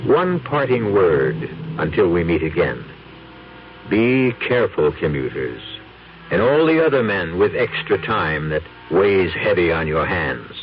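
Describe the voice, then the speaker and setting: A man hosting a 1970s radio mystery show, with ominous, dramatic narration and slow emphasis. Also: deep voice, big pitch swings, a little hiss or hum. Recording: dull vintage radio broadcast with music.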